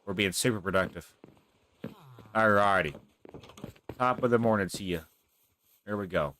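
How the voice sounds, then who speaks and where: A man, hosting his own gaming channel, rambling and thinking out loud as he plays, his nose stuffy from a cold. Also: fairly low pitch, normal speed. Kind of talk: casual gameplay commentary.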